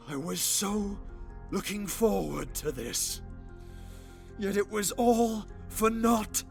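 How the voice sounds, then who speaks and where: A man playing an anguished, imperious young vampire nobleman in a theatrical, breathy audio drama style. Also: a little high, exaggerated voice. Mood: despairing. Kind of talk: dramatic visual-novel style voice acting.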